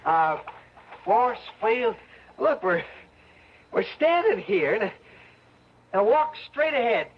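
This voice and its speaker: An actor playing an excitable, bewildered man in an old-time radio drama, halting and repeating himself in astonishment. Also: very high-pitched, slight background noise, exaggerated voice. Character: excitable, bewildered man exploring an eerie trap. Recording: muffled old radio recording.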